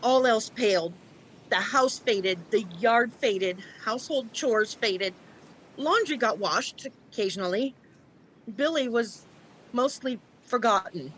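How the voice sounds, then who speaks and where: A woman's voice: a little high, expressive, takes it slowly, a bit bright, slight background noise, poor audio. A woman playing an anxious, stubborn, distrustful mother in a scripted radio play. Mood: determined, sad. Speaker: actor in a college radio play. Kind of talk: scripted radio drama acting.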